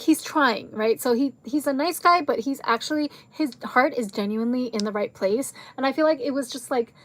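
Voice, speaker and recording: A woman with a fairly high voice talks casually to camera, rambling from one tangent to the next and leaning on fillers. Her voice is fading and a little hoarse. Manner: chatty, rambling, jumps between tangents; frequent fillers like "like" and "um". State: losing her voice. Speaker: video creator reviewing a film.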